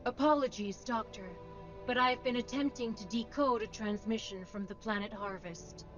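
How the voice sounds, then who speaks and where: An actress playing a composed artificial intelligence assistant in an animated sci-fi drama, with a precise, formal and polite delivery. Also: female voice, a little high, moderate pace, close to an everyday voice. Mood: composed, apologetic. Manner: precise, formal, even-tempered. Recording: dull film audio under loud music.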